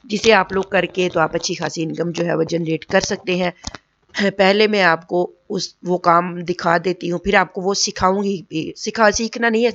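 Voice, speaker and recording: Woman, tutorial host, hoarse throat, flat and patient, lo-fi dry mic. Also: fairly low pitch. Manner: keeps checking in with "okay?" and repeats points patiently. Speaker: online earning tutorial host. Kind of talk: casual screen tutorial.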